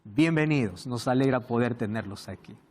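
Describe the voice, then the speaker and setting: A man hosting a Bible study program, speaking in a measured, emphatic way. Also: medium pitch, darkish tone, a little hiss or hum. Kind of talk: studio presentation.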